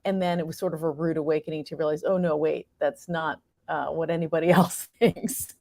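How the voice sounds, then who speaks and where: A woman's voice: deep voice, steady tone, fairly quick, creaky, a little mellow, no room sound. A middle-aged American woman talking reflectively as an interview guest, animatedly acting out her own thoughts as she tells stories. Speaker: guest, playwright and actor.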